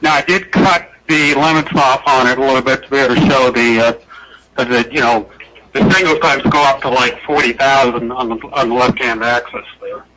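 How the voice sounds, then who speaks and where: A man's voice: medium pitch, steady tone, normal speed, bright, forceful tone, slight background noise, low-quality recording. A man presenting scientific results at a conference in an informal, explanatory, unscripted way. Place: indoor presentation room with projected slides.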